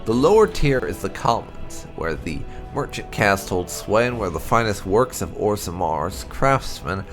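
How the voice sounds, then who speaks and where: A man reading fantasy lore aloud as expressive scripted narration, measured and deliberate with dramatic emphasis. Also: lowish voice. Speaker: host of a game playthrough channel reading lore entries aloud. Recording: music behind the voice.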